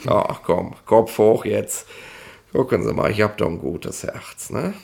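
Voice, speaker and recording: An actor playing a jovial, overbearing police inspector in a 1960s German radio play, talking down in a rambling, colloquial, gravelly voice. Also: male voice, fairly low pitch, a little slow, darkish tone. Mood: smug, jovial. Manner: colloquial, rambling, patronising, chuckling bureaucrat.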